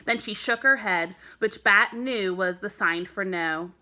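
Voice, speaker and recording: A woman teacher narrating a children's book aloud, telling the story clearly for young listeners. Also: medium pitch, measured pace, bright, forceful tone. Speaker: elementary school teacher.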